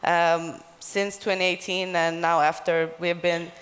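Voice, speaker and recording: A young woman speaks in a fairly low voice on a conference panel. She tells a personal account, and the large hall adds a long reverb around her. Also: flat delivery, a little slow. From Gothenburg, Sweden. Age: 20s. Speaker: panelist, activist.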